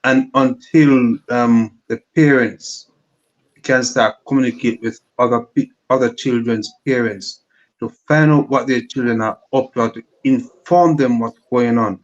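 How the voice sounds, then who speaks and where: A man's voice: mid-range voice, unhurried. Male panelist, mid voice, dull lo-fi line; emphatic, agreeable. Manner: emphatic, agrees often, speaks from work experience. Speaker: panel guest. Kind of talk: panel discussion.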